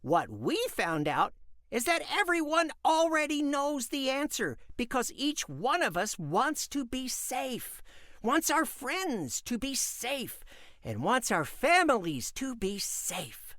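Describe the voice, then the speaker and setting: A woman plays an earnest young kid in a children's story. The voice is fairly high, takes its time, and swings widely up and down. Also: very animated, takes it slowly, fairly bright tone, dry recording, clean studio sound, heavily acted. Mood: sincere. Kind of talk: scripted children's audio story.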